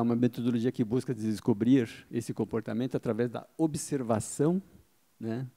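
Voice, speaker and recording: A man's voice: medium pitch, creaky, dark tone. A middle-aged man giving a conference talk into a handheld microphone, explaining points and answering his own questions. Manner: explanatory, asks himself questions and answers them, frequent "tá?" tags. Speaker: speaker giving a marketing talk. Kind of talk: conference talk to a live audience. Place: event hall with a seated audience.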